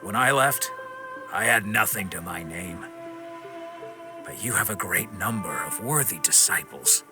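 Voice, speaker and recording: A stern, forgiving swordsman from a video game. His voice sits fairly low, and he speaks firmly and frankly in measured lines while music plays clearly behind him. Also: expressive. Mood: solemn encouragement. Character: stern but forgiving wandering swordsman, former senior disciple. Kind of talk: dramatic video game acting.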